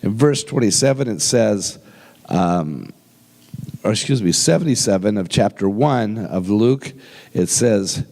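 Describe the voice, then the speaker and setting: An older male pastor preaching with a cold that leaves his voice deep and rough. He talks in a folksy, joking way and slows right down for scripture. His voice rings out through a large, echoing church hall. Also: animated, a little mellow. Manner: folksy, humorous, slows down when reading scripture. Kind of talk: sermon. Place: church sanctuary with long reverb. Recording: reverberant hall sound.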